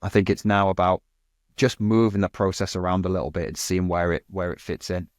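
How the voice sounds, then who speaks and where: A man's voice: deep voice, steady tone, fairly quick, dry recording, clean studio sound. A man in his thirties, a podcast guest, talking fluently and casually with lots of "you know" and "kind of". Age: 30s. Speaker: agency guest.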